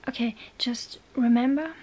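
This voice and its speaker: A woman playing a bookish, exasperated young witch in an ASMR roleplay, halting and bossy, often whispering. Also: a little high, mellow. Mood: anxious, coaxing. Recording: faint background noise.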